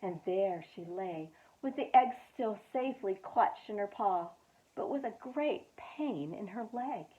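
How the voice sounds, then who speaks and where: A woman reads a children's storybook aloud as a youth director, expressive and dramatic, with well-timed pauses. Her voice sits mid-range for a woman. The audio is narrow and phone-like. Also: mid-range voice.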